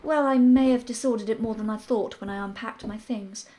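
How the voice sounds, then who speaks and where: A woman's voice: a little high, fairly even intonation, fairly quick, warm tone, natural voice. A woman doing a prim fussy male professor. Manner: precise, prim, slightly pompous.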